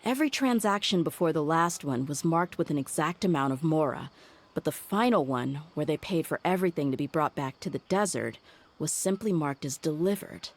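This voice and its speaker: A woman voicing a tough young female mercenary in a game cutscene, steady and loyal, thoughtfully reasoning things out aloud. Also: deep voice, fairly quick, slight background noise.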